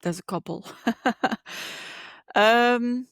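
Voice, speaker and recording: A woman in her forties from Belgium, a psychologist and coach, speaking as a podcast guest in a reflective, unhurried way with frequent ums and restarts. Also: on the high side, clear, resonant tone, dry recording, clean studio sound. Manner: thoughtful, with many ums and restarts. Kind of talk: conversational interview.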